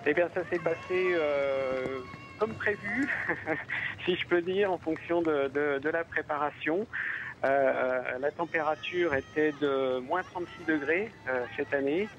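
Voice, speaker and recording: A man's voice: fairly high pitch, unhurried, fairly bright tone. A man runner speaking by phone in an interview, hesitant, with many fillers and restarts. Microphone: telephone. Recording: tinny phone line.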